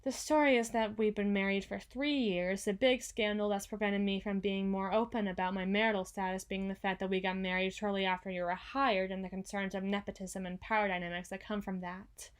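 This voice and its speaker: A woman's voice: mid-range voice, clean tone, close to an everyday voice. A woman playing a proud but insecure company boss in an ASMR roleplay, speaking formally and wordily with flustered stammers. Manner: formal, wordy, haughty yet flustered, stammering. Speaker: voice actor.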